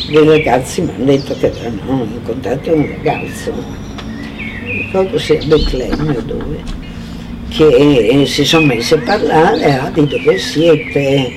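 Male, unhurried, halting emotional recollection, conversational, noisy outdoor setting with birds. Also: on the high side. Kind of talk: personal spoken recollection.

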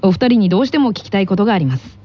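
A woman's voice: medium pitch, lively intonation, racing, mellow, dull audio. A woman casually hosting a radio show and putting questions to guests.

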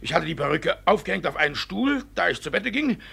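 An actor playing a shifty, blustering middle-aged village judge in a 1950s German radio play, with a rough, gravelly voice and theatrical delivery. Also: male voice, sits high, very animated, a little fast, bright, forceful tone, exaggerated voice. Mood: agitated, blustering. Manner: flustered, barking orders, theatrical. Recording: vintage 1951 radio recording.

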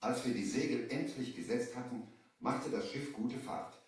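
A man's voice: mid-range voice, moderate pace, close to an everyday voice. Guy playing serious merchant storyteller, medium voice, old 1970 radio play record, distant n reverby. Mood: grave narration. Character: earnest male merchant from Baghdad recounting his adventure. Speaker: narrator and lead character. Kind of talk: scripted storytelling, 1970 audio drama. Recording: echoey vintage LP, off the mic.

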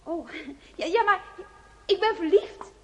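An actress playing a young female nurse in a radio drama, brisk and girlish. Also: very high-pitched, expressive, darkish tone, full character voice. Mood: lovestruck musing. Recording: noisy old radio play recording.

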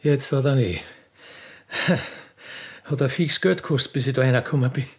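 A man voicing a mature, rich butcher's widow in a comic radio play, wooing in folksy, halting, insistent Viennese. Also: medium pitch, husky. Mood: smitten, scheming.

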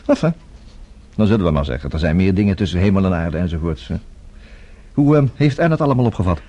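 An actor playing a sceptical, urbane bachelor journalist in a Dutch radio play, drily casual with small hesitations. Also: male voice, sits low, expressive, quick pace, warm tone, slightly rough.

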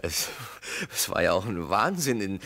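An actor playing an anxious salesman cornered as the accused in a radio play, halting, flustered and defensive. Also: sits low, very animated, bright, forceful tone, exaggerated voice. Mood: uneasy, evasive. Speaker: accused man in a mock trial. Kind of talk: German radio play acting.

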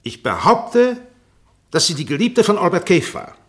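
An actor playing a shrewd, relentless defence barrister in a German radio play, formal and insistent. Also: male voice, sits high, big pitch swings, moderate pace. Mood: accusing, pressing. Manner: formal, insistent, rhetorical repetition.

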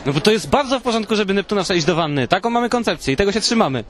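A man's voice: high voice, expressive, very fast, fairly bright tone. A man hosting a podcast, casually putting questions to passers-by in street interviews and bantering. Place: outdoors on a busy city street. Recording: noisy outdoor street with people around.

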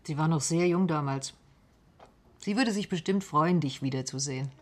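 A pragmatic female detective in a radio drama. She sounds husky and fairly low, and speaks in a composed, matter-of-fact way. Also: moderate pace, a little mellow. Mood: helpful, calm. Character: level-headed, pragmatic female private detective. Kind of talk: radio play acting in dialogue.

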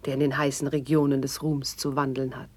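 An actress playing a poised, gracious court noblewoman in a classical radio verse drama, with a breathy husky voice and stately delivery. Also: extremely low pitch, little pitch movement, a little fast, no room sound. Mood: celebratory, assured.

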